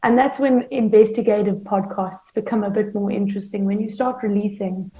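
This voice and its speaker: A woman with a soft, dark tone explains her work as an interviewed producer. The recording is echoey and somewhat dull. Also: medium pitch, fairly even intonation, a little fast, soft background music. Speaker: podcast producer interviewed as guest. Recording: muffled, roomy, echoey audio.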